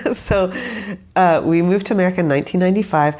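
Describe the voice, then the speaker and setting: A woman's voice: lowish voice, brisk, darkish tone. A young woman who grew up in Saudi Arabia, chatting casually as an interview guest, rambling with many fillers and restarts. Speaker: guest, musician.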